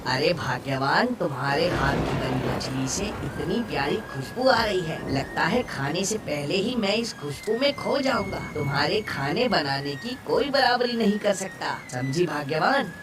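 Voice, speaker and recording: A woman voicing a cheerful, affectionate village fisherman in an expressive, coaxing way for a Hindi cartoon story. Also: sits low, moderate pace, few pauses, heavy background noise. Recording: slightly distant, clear background music.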